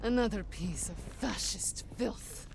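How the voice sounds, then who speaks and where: A woman's voice: mid-range voice, slow pace, creaky, background noise. An actress playing a fierce, vengeful and determined Soviet woman sniper in a video game, intense and defiant. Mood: cold, vengeful. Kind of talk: dramatic video game acting. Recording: loud music behind the voice.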